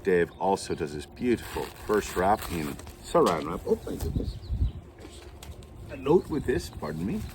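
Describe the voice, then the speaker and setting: Deep-voiced theatrical male pipe host. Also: a little hiss or hum. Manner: theatrical, playful showman with mock announcements. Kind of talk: playful unboxing vlog.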